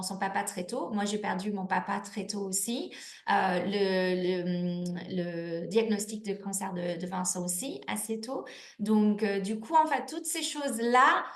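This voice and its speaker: A woman in her thirties answering interview questions in a chatty, candid way, with lots of restarts and fillers. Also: mid-range voice. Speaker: network marketing manager, guest. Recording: echoey room.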